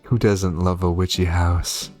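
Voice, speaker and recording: A man's voice: low voice, little pitch movement, unhurried, a little mellow, faint music behind. A man doing casual commentary as he plays through a game, given to gushing, amused asides. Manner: gushing over cute things, amused asides. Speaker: gamer, video host. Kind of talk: casual playthrough commentary, reading game text aloud.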